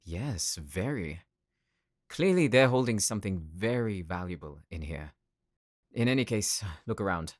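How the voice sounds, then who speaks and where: A man's voice: on the low side, animated, unhurried, no echo, studio quality. A man voicing an earnest, homesick tech worker from a space colony in an audio roleplay, explaining things in a sometimes faltering way. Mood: matter-of-fact. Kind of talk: anime-style audio roleplay acting.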